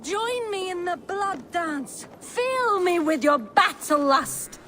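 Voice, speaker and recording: Lusty, high-voiced Viking wife. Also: female voice, very animated, takes it slowly, fairly bright tone, full character voice. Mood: eager, seductive. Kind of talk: video game acting.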